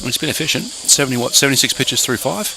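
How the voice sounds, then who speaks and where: A man's voice: medium pitch, expressive, fast talker, creaky. A man co-commentating on baseball in casual banter, speaking outdoors at a ballpark. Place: outdoors at a ballpark under shade cloth. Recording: noticeable outdoor background noise.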